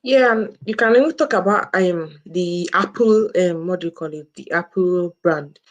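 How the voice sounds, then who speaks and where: A woman's voice: fairly low pitch, normal speed. Female co-host explaining with repeated phrases. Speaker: co-host presenting a book review. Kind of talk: informal explanatory talk.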